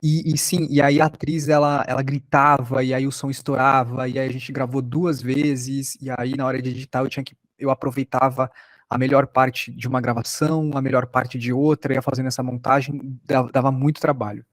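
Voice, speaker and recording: A man's voice: mid-range voice, level tone, brisk, dry recording. A man with a Brazilian accent giving an informal online podcast workshop lecture in an explanatory, conversational way. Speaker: teacher, podcast maker.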